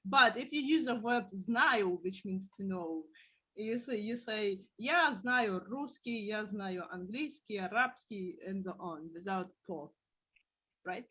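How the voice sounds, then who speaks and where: Give me a patient woman teacher explaining words one by one, unhurried. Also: medium pitch, a bit bright, slightly rough. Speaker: language teacher. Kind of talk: teaching a beginner class.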